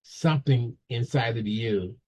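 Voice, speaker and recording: A man's voice: mid-range voice, a little slow, darkish tone, husky. A man hosting an interview, asking questions in a loose, repetitive way. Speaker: interview host. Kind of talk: conversational interview. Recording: dull lo-fi audio.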